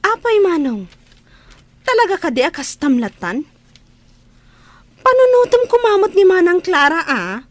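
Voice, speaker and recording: An actress with an Ilocano accent playing a principled younger sister with a pointed, reproachful manner in a radio drama. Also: female voice, very high voice, very animated, a little slow, long pauses, no echo, heavily acted. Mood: reproachful, pleading. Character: principled, outspoken younger sister scolding her elder brother.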